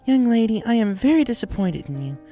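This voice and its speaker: Male reader as disappointed mom pegasus, high voice, scolding manner, storybook reading, faint music. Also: sing-song, a little fast, warm tone. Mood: stern disappointment. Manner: scolding, lecturing. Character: stern, disappointed pegasus mother scolding her daughter.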